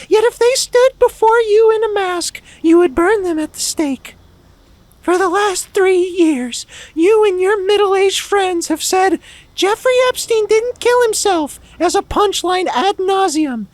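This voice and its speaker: Brief: man doing a shrill, very high preachy little girl, bone-dry sound. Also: no echo. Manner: preachy, long-winded moralizing. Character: precocious little girl lecturing her father.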